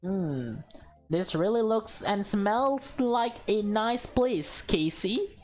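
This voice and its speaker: A reluctant young man from a visual novel, read by a woman, baffled and dry, tripping over his words. The delivery is unhurried, with music in the background. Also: medium pitch, animated. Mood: dry, agreeable.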